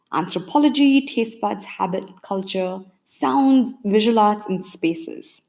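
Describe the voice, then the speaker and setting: A woman's voice: mid-range voice, a little mellow. Woman, podcast host, upbeat, reads intro, asks questions. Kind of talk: read introduction and interview questions.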